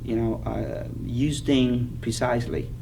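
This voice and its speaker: A man's voice: mid-range voice, fairly even intonation, warm tone. Vietnamese-accented man, distant and noisy. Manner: earnest, halting, many fillers. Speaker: interviewee. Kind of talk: oral history interview. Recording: distant mic with clear background noise.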